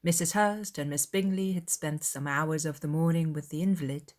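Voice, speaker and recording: A woman's voice: low voice, steady tone, moderate pace, a little mellow. A woman reading a scripted literary narration as an audiobook narrator.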